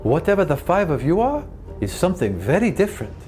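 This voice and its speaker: A man's voice: fairly high pitch, big pitch swings, quick pace, a little mellow, soft background music. A man voicing a solemn, formal temple dweller in a tabletop roleplaying game. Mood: solemn, awed. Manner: formal, declamatory.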